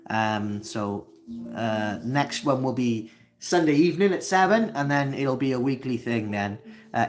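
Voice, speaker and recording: A man with a Welsh accent from Wales, hosting a solo livestream, talking earnestly with frequent hesitations and restarts. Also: medium pitch. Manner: earnest, halting, many fillers and restarts. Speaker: channel host. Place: indoors, seated facing camera.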